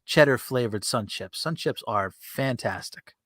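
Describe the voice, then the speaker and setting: Male podcast host, mid-pitched, informal and talkative, playful debater, close dry mic. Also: lively intonation, unhurried, fairly bright tone, dry recording. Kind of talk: casual chat, bantering. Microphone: close USB desk microphone on an arm with pop filter.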